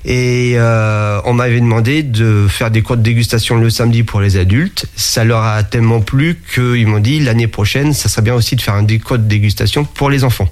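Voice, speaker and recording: A man's voice: medium pitch, steady tone, normal speed, few pauses, darkish tone. A man speaking as a sommelier in an interview, talking casually and hesitantly with many fillers and restarts. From France. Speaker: interviewee, sommelier and museum founder.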